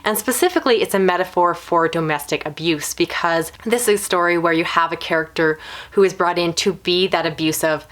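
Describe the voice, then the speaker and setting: A woman's voice: on the low side, a little fast, a bit bright. A woman reviewing books in a casual video style, talking in long, considered explanations. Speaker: book reviewer.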